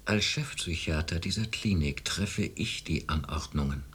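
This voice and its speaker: A man playing a self-righteous, domineering chief psychiatrist in a radio drama, authoritative and dismissive, with a husky voice. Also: deep voice, normal speed, a bit bright, a little hiss or hum. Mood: stern, condescending.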